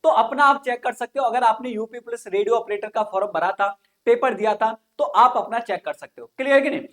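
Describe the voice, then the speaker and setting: A man's voice: extremely high pitch, a little fast, fairly bright tone. A man teaching an informal online exam-coaching lesson, explaining things repetitively and often checking that listeners follow. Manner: repetitive, frequent check-in questions.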